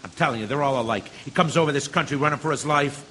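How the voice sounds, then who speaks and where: A man's voice: fairly high pitch, fast talker, bright, forceful tone, a little hiss or hum. A man playing a hot-headed, bigoted, belligerent juror in a stage drama, brash and sneering. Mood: angry, sneering.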